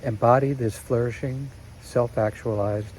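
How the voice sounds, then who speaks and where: A man narrating a guided meditation in a slow, soothing way with long pauses. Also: medium pitch, steady tone, darkish tone, slight background noise, poor audio.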